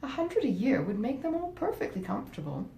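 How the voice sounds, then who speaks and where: A woman voicing an earnest, somewhat pompous gentleman husband who is easily swayed, in a classic novel reading. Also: sing-song, a little fast, creaky. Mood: deliberating. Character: grave, well-meaning but easily swayed gentleman husband. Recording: roomy echo, faint rumbling noise.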